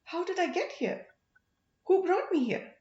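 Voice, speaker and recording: A woman's voice: very high-pitched, sing-song, a little slow, darkish tone, reverberant, muffled recording, pitched above the performer's own voice, heavily acted. A woman voicing a dazed, earnest young prince full of wonder in an audiobook story.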